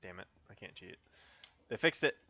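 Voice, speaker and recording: Bright-voiced man muttering at a game. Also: deep voice, expressive, moderate pace, dull audio. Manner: loose, swears and mutters at the game. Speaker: gamer on a group stream. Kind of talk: casual chat.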